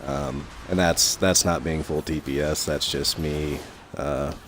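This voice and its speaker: A young man commentating his gameplay in a casual, rambling way full of fillers, his voice a little raspy from talking so long. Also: a bass voice, steady tone, measured pace, fairly bright tone, a little hiss or hum. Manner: rambling, many fillers and restarts.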